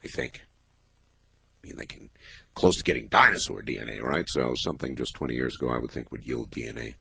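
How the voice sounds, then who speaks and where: A man in his fifties hosting a late-night call-in radio show, talking in a measured, musing way with many pauses. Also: extremely low pitch, expressive, gravelly, pushed and bright, breathy edge, no echo. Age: 50s. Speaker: radio talk show host. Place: radio studio. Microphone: close studio microphone.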